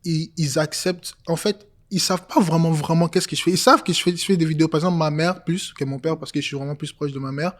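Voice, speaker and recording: Young man, hesitant relaxed chat. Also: on the high side, fast talker, hardly any pauses. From Congo. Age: 20s. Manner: relaxed, hesitant, many fillers. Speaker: guest. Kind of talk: casual interview chat.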